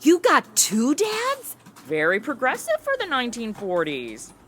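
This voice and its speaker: A woman's voice: fairly high pitch, huge pitch swings, measured pace, fairly bright tone, slight background noise, full character voice. A young woman playing a chatty, ditzy modern influencer who hosts and banters in a comedy audio drama. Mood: teasing, clueless. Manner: breezy, casual valley-girl slang. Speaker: host.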